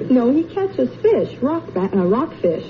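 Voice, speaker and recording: A woman's voice: sits high, sing-song, measured pace. A woman from California, a quiz show contestant, giving short, polite answers. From Monterey, California. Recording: dull old radio broadcast with faint hiss.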